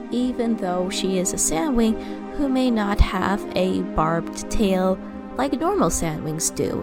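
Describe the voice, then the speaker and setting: A woman giving a casual, rambling voice-over commentary as a fan narrator, stumbling over names. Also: mid-range voice. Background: background music.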